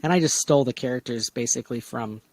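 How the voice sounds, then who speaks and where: A man casually brainstorming on a discussion podcast, talking in a halting way with vague, trailing asides. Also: mid-range voice, a little mellow, dry recording. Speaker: podcast co-host pitching story ideas.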